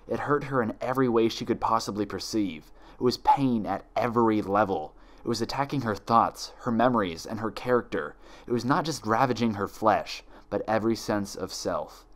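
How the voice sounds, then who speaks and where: A man reading dark fan fiction aloud as dramatic, scripted horror narration. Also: fairly low pitch, brisk.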